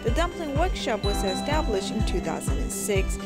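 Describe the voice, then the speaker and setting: A woman narrating a news feature in an expressive voice-over. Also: mid-range voice, normal speed, over loud music.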